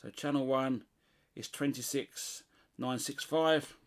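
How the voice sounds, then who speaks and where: A man's voice: mid-range voice, steady tone, slow, slight background noise. A middle-aged British man giving a casual hands-on demonstration, thinking aloud as he goes. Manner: unscripted, thinking aloud while testing. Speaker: CB radio hobbyist presenter.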